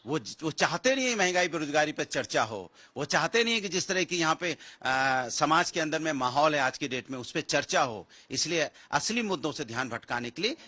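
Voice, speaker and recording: A man's voice: a little high, lively intonation, brisk, few pauses, bright, forceful tone. A man, an opposition politician, giving an insistent, repetitive sound bite.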